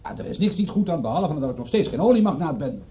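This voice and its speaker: An actor in a Dutch radio play voicing a hard-up private detective who is direct, dry and sardonic. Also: male voice, a little high, very animated, fast talker, mellow, husky, heavily acted. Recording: muffled old radio recording, faint music.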